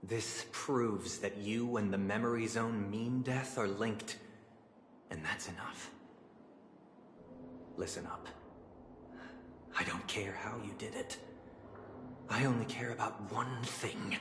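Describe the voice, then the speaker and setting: An actor playing a cold, vengeful aristocrat in a video game drama, imperious and deliberate, sometimes dropping into a whisper. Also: male voice, lowish voice, background noise. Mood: bitter fury. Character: cold, vengeful aristocratic man, commanding family master. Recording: very echoey hall, music underneath. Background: music.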